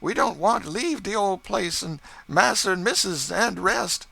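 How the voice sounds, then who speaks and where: Man voicing pleading farmhands, folksy dialect, high, bright, earnest. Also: animated, unhurried, vocal fry, dry recording, full character voice.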